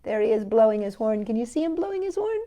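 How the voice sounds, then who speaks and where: A woman's voice: a little high, big pitch swings, fast, dark tone. A woman, a native English speaker, hosting a chatty, playful storytime for young children, often asking little questions of her listeners. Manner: playful, talks to companion and young viewers with tag questions.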